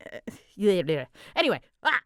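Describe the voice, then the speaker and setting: A woman's voice: mid-range voice, sing-song, fairly quick, fairly bright tone, dry recording. A woman chatting casually and dramatically in a scattered, self-deprecating way, her voice swooping up and down, recorded close on a mic in a small closet. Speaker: ASMR audio creator and voice performer. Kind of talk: casual rambling Q&A chat.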